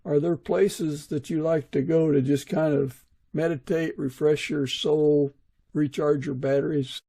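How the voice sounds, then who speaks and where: A man's voice: a little high, fairly even intonation, unhurried, creaky, dark tone, slightly rough. A man hosting a podcast, introducing the show and interviewing in a conversational way. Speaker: podcast host.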